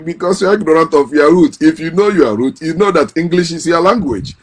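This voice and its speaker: Impassioned man, fairly high voice. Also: fairly quick, breathy edge. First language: Igbo. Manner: impassioned, repeats and restarts phrases. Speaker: host. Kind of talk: casual monologue.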